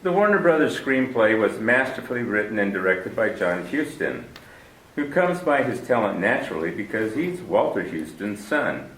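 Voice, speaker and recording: A man's voice: lowish voice, very animated, normal speed, a bit bright. A man hosting and narrating a 1940s radio drama in a formal, stately manner. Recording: roomy, distant 1940s radio broadcast recording.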